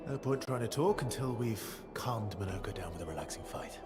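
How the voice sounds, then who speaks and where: A man's voice: fairly low pitch, expressive, fairly quick, natural voice. An actor playing an easygoing male adventurer in a video game, speaking in a dry, teasing way. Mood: wry. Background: game music.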